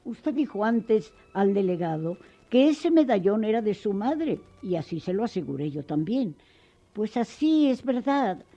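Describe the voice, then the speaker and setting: An actress playing a kindly, forgiving older schoolteacher in a radio soap, speaking gently, earnestly and formally. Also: female voice, mid-range voice, big pitch swings, unhurried. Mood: earnest, maternal. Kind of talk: melodramatic radio soap acting. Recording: dull, band-limited radio drama recording with music.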